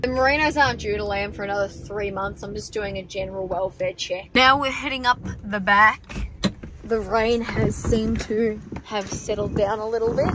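A woman's voice: mid-range voice, steady tone, normal speed, noisy background. A young Australian woman in her twenties chatting casually to a phone camera about farm work, wry and blunt, swearing freely. She films in her car, on a tractor and out in the paddocks. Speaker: farm vlogger. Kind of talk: casual vlog chat. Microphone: phone camera held at arm's length.

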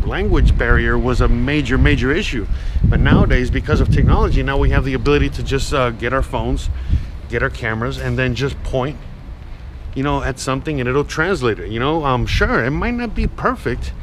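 Rambling American man vlogging on a noisy street. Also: mid-range voice. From Miami, United States. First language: Spanish. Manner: rambling, halting. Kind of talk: casual walking vlog to camera. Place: city street outdoors, walking. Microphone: action camera at arm's length. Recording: noisy outdoor street with traffic. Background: traffic and street ambience.

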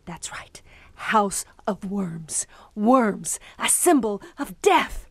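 A woman's voice: medium pitch, lively intonation, extremely slow, husky, dry recording, full character voice. A woman playing an anxious, suspicious young honeymooning wife in an audio drama. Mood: frightened, insistent. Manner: hesitant, anxious, insistent.

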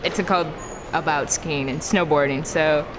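A woman's voice: low-pitched, steady tone, normal speed, background noise. A young woman student describing her college in a casual, enthusiastic interview.

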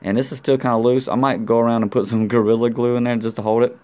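A man's voice: mid-range voice, little pitch movement, fast talker, darkish tone. A man giving a casual, hands-on vlog tutorial, talking colloquially with playful sound-effect interjections. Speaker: motorcycle vlogger demonstrating gear.

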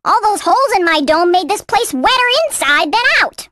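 A woman voicing a cranky cartoon character who yells in exasperation and uses old-fashioned exclamations, heard in a noisy cartoon clip. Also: very high-pitched, animated, moderate pace, heavily acted. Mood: exasperated. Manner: exasperated, yelling.

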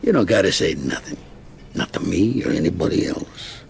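An actor playing a fatherly old mobster in a game cutscene, calm, knowing and unhurried. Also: low voice, gravelly, exaggerated voice. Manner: avuncular, unhurried, streetwise.

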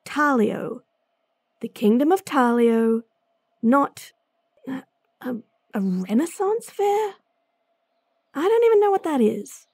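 A gruff swordswoman bounty hunter with a gravelly, husky voice, as a woman acts her out. She talks slowly and bluntly in a dark, low-lit tone, sardonic and short on patience. Recorded close and dry for a fantasy audio story. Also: lively intonation, no echo, full character voice. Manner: blunt, sardonic, sighing often. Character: gruff, impatient female bounty hunter swordswoman, young adult. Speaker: voice actress. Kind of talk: fantasy roleplay audio drama.

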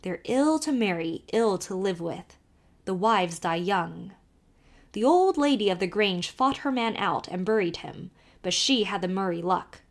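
A woman's voice: on the high side, very animated, unhurried, pitched above the performer's own voice. A woman voicing a jolly old male peddler with a thick brogue, sly and teasing, in a storybook reading.

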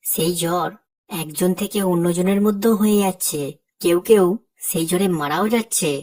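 Cartoon: fearful young villager , voiced by a woman, slightly low, pleading. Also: darkish tone, lightly acted. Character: frightened young village man.

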